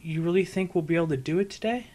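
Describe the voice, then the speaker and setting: A man's voice: fairly high pitch, racing, a little mellow, lightly acted. A man voicing a studious young female unicorn pony in a storybook reading, polite, earnest and a little hesitant. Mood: curious. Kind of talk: fan fiction audiobook character voice.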